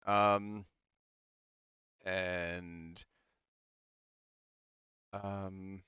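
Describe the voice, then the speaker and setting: A man speaking casually and haltingly as the game master of a tabletop roleplaying game, thinking aloud. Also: sits low, level tone, pushed and bright, smooth, dry recording, dull audio.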